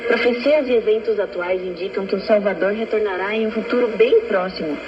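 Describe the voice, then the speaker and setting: A man's voice: high voice, quick pace, noisy background. A man reading a scripted religious radio announcement. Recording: muffled shortwave radio with music.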